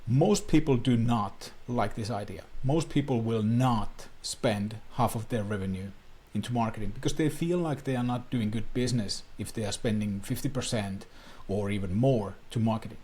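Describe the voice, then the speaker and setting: A man's voice: on the low side, moderate pace, few pauses, dark tone, breathy edge. A man from Finland, a native Finnish speaker, coaching viewers on online marketing in an earnest talking-head explainer with plain, slightly non-native phrasing.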